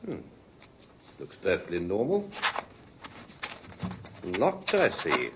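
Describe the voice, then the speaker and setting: An actor playing a dry, shrewd police inspector in a 1950s radio drama, with measured, sceptical questioning. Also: male voice, low voice, huge pitch swings, exaggerated voice. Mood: businesslike. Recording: muffled, scratchy 1950s radio recording.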